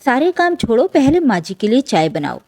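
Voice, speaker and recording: A woman's voice: fairly high pitch, sing-song, normal speed, a little mellow, no echo. A woman voicing a vain, lazy young daughter-in-law in a story, self-centred and two-faced. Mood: bossy.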